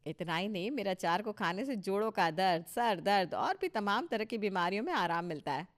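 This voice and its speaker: A woman's voice: mid-range voice, lively intonation, a little fast, lightly acted. A woman playing a proud, touchy older mother-in-law in a Hindi cartoon story, emphatic and boastful with a scolding edge. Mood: proud, bossy.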